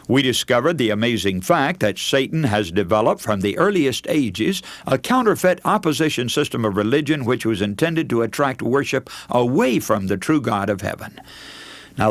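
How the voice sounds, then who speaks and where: Radio preacher with animated, swooping delivery. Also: male voice, medium pitch, no echo. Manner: emphatic, declamatory, addresses listeners as friends. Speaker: radio preacher, broadcast host. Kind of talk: scripted radio sermon.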